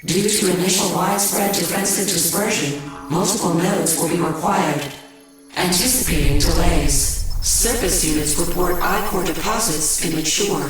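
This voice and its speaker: A woman's voice: fairly low pitch, moderate pace, crowd noise, close to an everyday voice. A woman voicing a cool, dutiful alien ship computer, giving clipped and emotionless status reports to its commander. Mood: cautioning. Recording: echoing, distant, under loud music.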